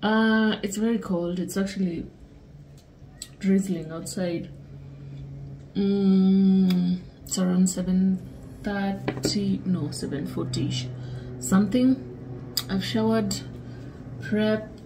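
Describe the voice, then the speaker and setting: A woman's voice: mid-range voice, slow pace, long pauses, a little hiss or hum, low-quality recording. A young woman chatting casually to her viewers in a lifestyle vlog, filmed at home with a handheld camera. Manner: relaxed, chatty, talks to viewers as "guys". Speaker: lifestyle vlogger. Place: home kitchen and dressing room, indoors.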